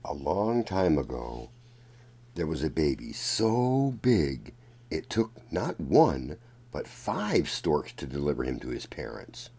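A man reading a children's story aloud in a deliberate, expressive storytelling manner. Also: extremely low pitch, husky. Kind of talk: read-aloud storybook narration.

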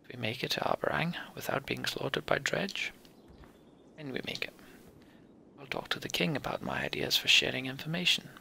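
A man voicing an earnest, idealistic young prince in a video game, with measured, formal, slightly haughty speech. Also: medium pitch, vocal fry, pushed and bright, in a whisper, exaggerated voice.

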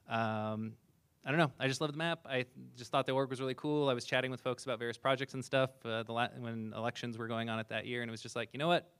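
Wry man with a smooth, dry voice. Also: mid-range voice, a bit bright, no room sound. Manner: wry, casual, self-deprecating. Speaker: board secretary. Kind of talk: conference panel introduction. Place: conference hall on stage.

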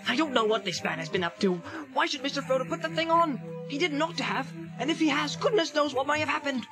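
A man's voice: extremely high pitch, lively intonation, measured pace, gravelly, music in the background, voice pushed up, heavily acted. A man voicing an anxious, loyal hobbit servant with a rustic, folksy manner in a storybook reading. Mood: alarmed, indignant. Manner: rustic, plain folksy grammar, muttering and exclaiming.

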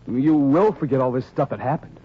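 An actor playing an eager, devoted, naive young husband with a husky voice in a 1940s radio comedy. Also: male voice, medium pitch, brisk, natural voice. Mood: eager, grateful. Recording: muffled 1940s radio recording.

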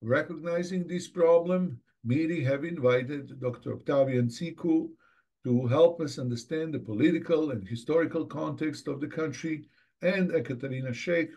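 A man's voice: medium pitch, a little mellow. A middle-aged man reading a formal, scripted introduction as moderator of an online seminar. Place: indoors, office, on a video call.

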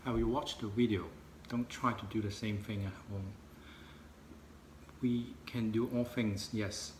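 Man, forties, native Cantonese speaker, lowish voice, earnest children's lesson, faint background noise. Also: a little mellow. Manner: earnest, simple and slow-paced. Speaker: Sunday school teacher. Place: outdoors in a park and a living room.

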